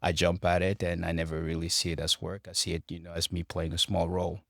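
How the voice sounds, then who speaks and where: Man, from Cameroon, very low voice, reflective guest, dry close sound. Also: completely flat delivery, vocal fry, a bit bright, dry recording. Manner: measured, reflective, frequent restarts and fillers. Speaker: construction director, podcast guest. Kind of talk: interview conversation.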